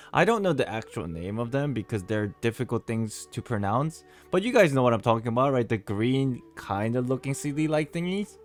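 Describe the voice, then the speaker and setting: A male streamer gives casual playthrough commentary in a medium-pitched voice. He muses and theorizes aloud to his chat, stretching out words, with faint game music behind him. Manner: speculative, drawn-out musing to chat.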